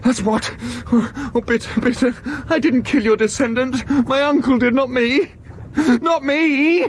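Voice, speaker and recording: An actor playing a panicking young German nephew lost underground in a radio drama, pleading and muttering to himself. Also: male voice, extremely high pitch, steady tone, unhurried. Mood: panicked. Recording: noisy 1990s radio drama broadcast.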